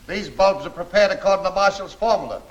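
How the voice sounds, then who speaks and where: A man's voice: high-pitched, normal speed, muffled recording, lightly acted. A man playing a smug, assured gang lieutenant giving orders in a 1940s film serial, on a faintly noisy old soundtrack. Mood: calm, assured. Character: unflappable, smugly amused gang lieutenant, adult male.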